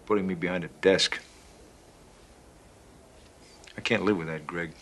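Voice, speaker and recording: An actor playing a weary, dutiful middle-aged military pilot with clipped, resigned speech in a science fiction TV drama. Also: male voice, deep voice, fairly even intonation, fast.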